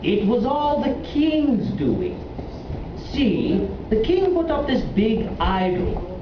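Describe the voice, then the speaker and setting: A man voicing an earnest, puzzled royal assistant in a children's puppet show, telling things deliberately. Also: very high voice, huge pitch swings, full character voice. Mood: earnest storytelling. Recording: echoey, muffled, noisy room, far from mic.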